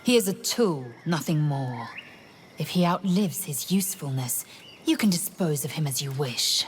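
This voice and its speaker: A woman's voice: sits low, expressive, moderate pace, slight background noise. An actress playing a cold, scornful woman in a video game, clipped and disdainful. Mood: cold, contemptuous. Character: cold, scornful woman speaking of allies as tools.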